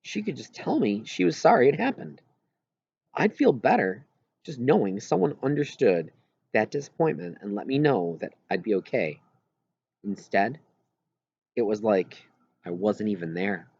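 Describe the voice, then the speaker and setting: A man's voice: lowish voice, expressive, moderate pace, vocal fry, pauses often, darkish tone, husky, no room sound, muffled recording. A man reading a children's novel aloud in first-person narration, as an audiobook reader.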